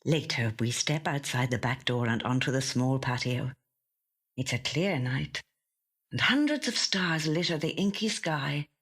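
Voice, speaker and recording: A woman reading a first-person literary short story in a measured, gentle storytelling manner. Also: extremely low pitch, expressive, slightly rough. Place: audio studio.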